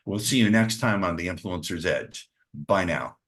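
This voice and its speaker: Male podcast host, very low pitch, bright forceful tone, eager conversational delivery. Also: animated, moderate pace. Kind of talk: interview podcast wrap-up.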